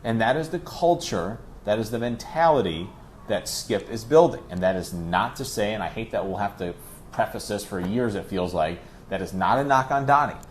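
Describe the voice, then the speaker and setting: A man's voice: fairly low pitch, animated, moderate pace, a little hiss or hum. A man who works as a play-by-play announcer, talking conversationally and at length as an interview guest, pressing his points with emphatic repetition.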